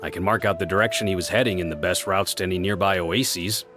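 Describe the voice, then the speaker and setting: A man's voice: low-pitched, steady tone, fast talker, natural voice. A man voicing a kindly, helpful local guide in a video game, speaking in a modest, obliging way. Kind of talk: video game dialogue acting. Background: music.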